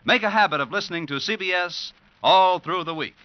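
A male American announcer reads a scripted promo in 1940s radio style. His delivery is formal and polished, his voice on the higher side, the recording dull and dated. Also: brisk, dry recording.

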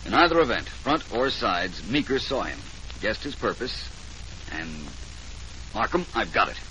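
Shrewd private detective, lowish voice, assured, deliberate, commanding, noisy vintage radio mystery. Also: male voice, very animated, bright, forceful tone, full character voice. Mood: assured, probing. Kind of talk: 1940s radio detective drama acting.

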